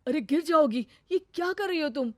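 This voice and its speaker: A woman voicing an anxious, earnest young village husband in an animated story. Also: high-pitched, normal speed, no echo. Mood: alarmed.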